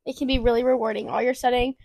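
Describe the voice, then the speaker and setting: A woman's voice: fairly high pitch, little pitch movement, rapid-fire, a little mellow, no room sound, clean studio sound. A young woman in college, co-hosting a casual chat in a laid-back, slangy way. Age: young adult. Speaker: co-host.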